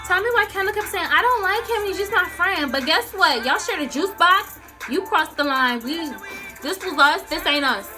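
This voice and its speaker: A young American woman telling a story casually to viewers, animated and slangy, acting out the dialogue as she goes. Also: extremely high pitch, normal speed, over music. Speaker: storytime vlogger.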